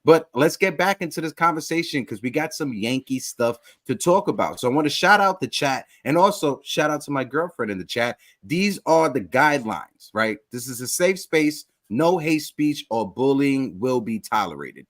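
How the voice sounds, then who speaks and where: A man in his thirties hosting a casual sports talk livestream, giving upbeat shout-outs to viewers in the chat. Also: mid-range voice, lively intonation, moderate pace, few pauses. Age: 30s. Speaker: podcast host.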